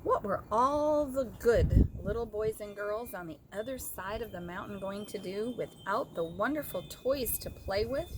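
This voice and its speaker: A woman reading a children's story aloud as an expressive storyteller. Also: medium pitch, noisy background.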